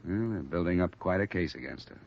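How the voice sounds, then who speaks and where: A muffled, faintly hissy old radio recording carries a hard-boiled American investigator. His voice is very low, and he speaks in clipped, stern, world-weary phrases, in the manner of a fifties detective serial. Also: animated, a little fast, gravelly. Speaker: lead actor and narrator. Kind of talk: 1950s radio detective drama acting.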